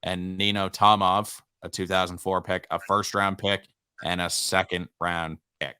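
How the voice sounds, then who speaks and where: Podcast co-host, young man: low pitch, dry close sound, casual and joking. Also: level tone, measured pace, sharp tone, smooth, no room sound. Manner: easygoing, playful teasing. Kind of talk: casual sports podcast chat.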